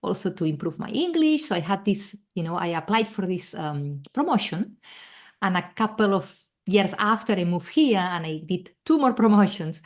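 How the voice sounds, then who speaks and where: A woman's voice: mid-range voice, sing-song, normal speed. A middle-aged woman from Spain with a Spanish accent, talking earnestly as a guest on a video call with many fillers and restarts. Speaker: guest, travel coach. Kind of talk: casual interview chat.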